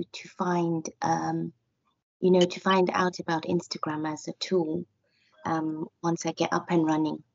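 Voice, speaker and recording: A woman introducing herself on a video call, hesitant and filling pauses with "um". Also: mid-range voice, a little mellow, no room sound. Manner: hesitant with many "um"s. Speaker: meeting attendee, new business owner. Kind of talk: self-introduction. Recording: dry, dull lo-fi call audio.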